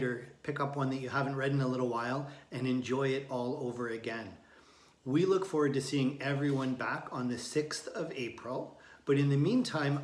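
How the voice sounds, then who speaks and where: A man's voice: medium pitch, reverberant. A man speaking as a teacher, addressing his students and reading a picture book aloud.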